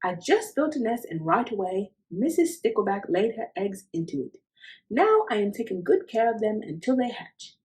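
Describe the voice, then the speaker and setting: A woman's voice: medium pitch, sing-song, moderate pace, a little mellow, lightly acted, rough recording. A woman voicing a proud, cheerful father fish in a children's storybook, chirpy and upbeat. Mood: delighted. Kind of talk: children's storybook read-aloud.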